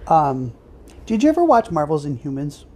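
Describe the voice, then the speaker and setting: A woman's voice: deep voice, big pitch swings, moderate pace, warm tone. A woman co-hosting a podcast in a casual, chatty style. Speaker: podcast co-host. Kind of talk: casual chat about superhero movies.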